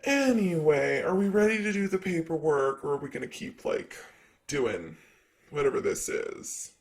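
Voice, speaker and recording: A man's voice: fairly high pitch, very animated, normal speed. A man voicing a sassy, jaded demon secretary of hell in an improvised ASMR roleplay, chatty and sarcastic with breezy, rambling delivery. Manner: sarcastic, breezy, rambling with casual filler words.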